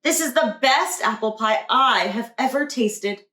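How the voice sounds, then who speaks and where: A woman reading a storybook voices a kindly, animated grandmother. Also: a little high, measured pace, echoey room. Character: kindly, lively grandmother who exclaims readily.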